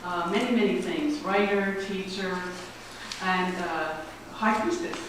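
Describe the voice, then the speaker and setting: Woman host reading an introduction, lowish pitch, distant mic, audible hiss. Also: measured pace. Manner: reads a biography with hesitations and fillers. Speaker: society director introducing the speaker. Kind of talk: formal introduction. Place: meeting room before an audience. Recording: echoey room, mic far off, background hiss.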